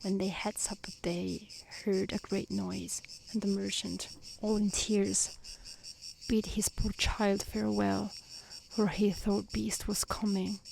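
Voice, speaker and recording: A woman reading a fairy tale aloud in a soft, breathy ASMR style that often slips toward a whisper, gentle and careful. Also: mid-range voice, low-quality recording. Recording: faint background noise with chirping insects. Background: outdoor nature ambience, crickets.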